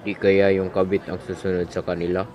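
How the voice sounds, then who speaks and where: A man playing a low-key gossiping neighbourhood pal in an amateur radio drama. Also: completely flat delivery, brisk, over music, natural voice. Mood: matter-of-fact.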